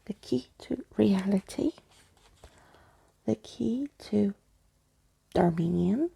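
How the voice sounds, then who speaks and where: A woman speaking softly and intimately in an ASMR bedtime storytelling style, as if to one listener, with a breathy, husky, creaky voice. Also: mid-range voice, slow pace. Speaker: ASMR storyteller reading a book aloud. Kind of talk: soft-spoken ASMR bedtime roleplay and reading.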